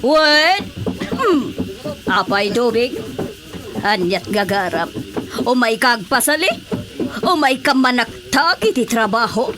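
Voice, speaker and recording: A woman's voice: a little high, animated, slow, long pauses, a crowd in the background. A Filipino actress playing a brash, scornful businesswoman in a radio comedy drama, with an exclamatory, mocking delivery. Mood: suspicious. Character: brash, scornful madam building a grocery and boarding house.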